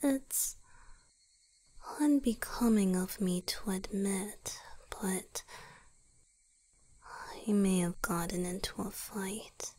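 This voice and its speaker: A woman voicing a gentle, apologetic young male vampire boyfriend in a soft, halting whisper for a romantic ASMR roleplay. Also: medium pitch. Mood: sheepish, weary. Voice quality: breathy, husky whisper.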